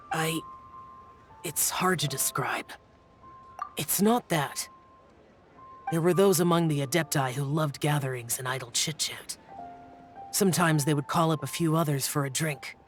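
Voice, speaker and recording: An actor playing an aloof, solemn young immortal warrior in a video game, formal, brooding and halting. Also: male voice, on the high side, faint music behind. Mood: pensive, reserved.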